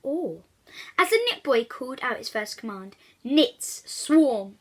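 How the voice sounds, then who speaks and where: A woman reads a children's storybook aloud in a high, very bright voice. She is animated and expressive, talking directly to young listeners as she goes. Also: moderate pace. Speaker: children's book reader.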